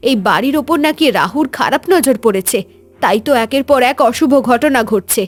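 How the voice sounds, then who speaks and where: Woman as a scheming, high-voiced stepmother. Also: animated, brisk, faint music behind. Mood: falsely concerned. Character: scheming, cold stepmother of a landlord's house. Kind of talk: Bengali animated story voice acting.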